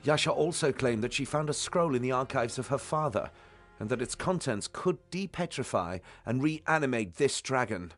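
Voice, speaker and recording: An actor playing a dutiful soldier lieutenant in a fantasy video game, giving a plain, earnest report. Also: male voice, mid-range voice, expressive, normal speed, soft background music, close to an everyday voice. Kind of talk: dramatic video game acting.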